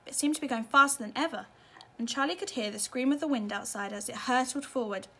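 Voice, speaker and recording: A woman's voice: sits high, fast, a bit bright, breathy edge. A woman narrating a children's storybook expressively, as a teacher reading at bedtime.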